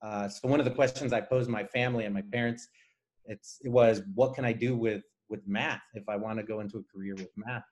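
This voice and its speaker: A man from Nicaragua who grew up speaking Spanish talks in a fairly low voice. He is a guest engineer in an interview, explaining things earnestly and conversationally. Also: steady tone, fairly quick, low-quality recording.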